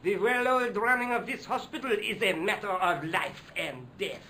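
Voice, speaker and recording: An actor voicing a curt, officious hospital administrator who is bound to the rules. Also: male voice, high-pitched, moderate pace, sharp tone, a little hiss or hum. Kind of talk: video game voice acting.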